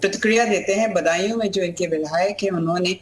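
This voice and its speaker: A woman's voice: lowish voice, quick pace. A woman party spokesperson arguing assertively in a TV debate, joining by remote call. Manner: assertive, argumentative. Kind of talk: political debate argument. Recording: echoey remote room audio.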